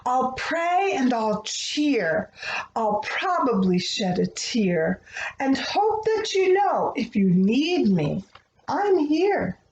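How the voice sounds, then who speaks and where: Slow, lilting woman reading to children, echoey room. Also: high voice, big pitch swings, darkish tone. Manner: tender, earnest, addressing young children. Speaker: children's book read-aloud host. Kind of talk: expressive read-aloud of a rhyming picture book, then gentle talk to children. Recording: dull, echoey room with faint noise.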